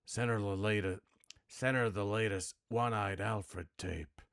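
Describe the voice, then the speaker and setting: A man voicing a brooding, world-weary hard-boiled detective from a noir video game, with a grim, deliberate delivery. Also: on the low side, steady tone, fairly bright tone, smooth, dry recording, studio quality.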